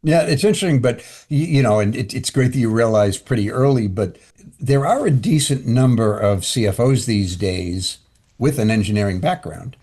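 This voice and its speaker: A man's voice: medium pitch, expressive, moderate pace, darkish tone. A man hosting an interview podcast for finance executives, talking in a chatty way that often stumbles and restarts. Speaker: podcast host.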